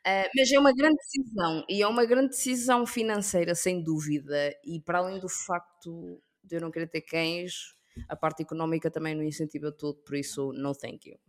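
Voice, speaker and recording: A woman with a European Portuguese accent chatting casually with friends as a podcast co-host, slangy and animated, dropping in English words. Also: on the low side, brisk. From Portugal, with African roots. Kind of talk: casual chat among friends.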